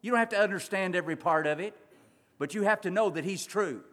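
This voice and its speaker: A man preaching a sermon, swinging between loud urgent passages and quiet slow ones, drawing out words for emphasis. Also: high voice. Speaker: pastor. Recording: long reverb of a large hall.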